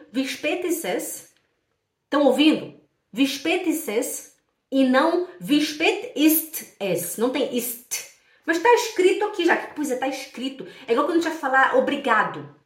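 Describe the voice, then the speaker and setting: A woman with a Brazilian accent from Pará giving an informal, chatty language lesson, repeating phrases deliberately and checking in with her listeners. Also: high voice. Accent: Brazilian Portuguese, from Pará. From Belém do Pará, Brazil. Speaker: German language teacher. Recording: echoey room, distant from mic.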